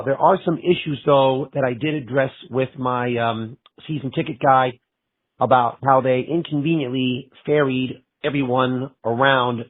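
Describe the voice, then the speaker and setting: Raspy man with a cold on a phone line. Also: medium pitch, dry recording. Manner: halting, with many pauses. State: has a bad cold. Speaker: caller. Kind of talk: voicemail message to a show.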